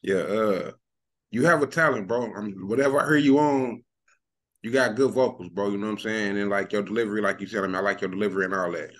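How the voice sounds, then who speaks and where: Easygoing oklahoma guy hosting a podcast, talks quick, lower voice. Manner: easygoing, conversational, many "you know what I'm saying". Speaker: podcast host. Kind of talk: casual podcast interview.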